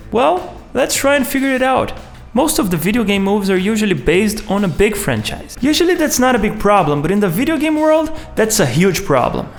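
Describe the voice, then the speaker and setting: High-pitched man narrating a video essay. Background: music.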